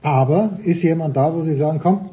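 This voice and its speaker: A man's voice: fairly high pitch, background noise. A man with an Austrian accent hosting a casual sports talk show, joking and teasing his panel. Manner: jokey, teasing, quick moderator. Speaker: host.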